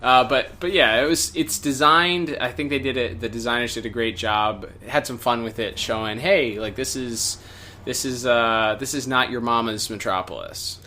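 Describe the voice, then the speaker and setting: A man's voice: medium pitch, moderate pace, pushed and bright, slight background noise. A man co-hosting a review podcast in a casual, conversational way with joking asides. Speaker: podcast co-host reviewing animated series.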